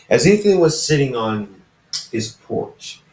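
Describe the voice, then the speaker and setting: A man reading a story aloud informally, halting and casual, with dramatic pauses and asides to listeners. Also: mid-range voice, darkish tone. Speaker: fan fiction narrator reading own recording. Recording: roomy, echoey, distant microphone.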